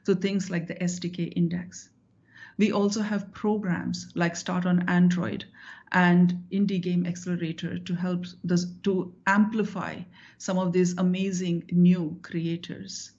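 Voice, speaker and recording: A woman's voice: lowish voice, level tone, a little slow, a little mellow. A woman tech executive explaining programs in a businesslike way with some hesitant fillers. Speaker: tech company executive, guest. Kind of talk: conversational interview answer. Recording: lo-fi remote audio.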